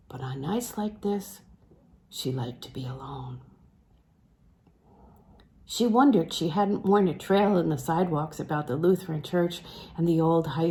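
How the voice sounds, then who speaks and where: A woman reading a literary novel aloud in a measured, phrase-by-phrase way, letting phrase endings fall soft and breathy. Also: on the low side. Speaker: audiobook reader.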